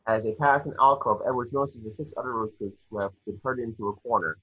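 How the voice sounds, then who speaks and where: A man reading screenplay action lines aloud in a somewhat halting way, as narrator of an amateur table read. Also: medium pitch, a bit bright, husky, lo-fi sound. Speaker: reader of action descriptions.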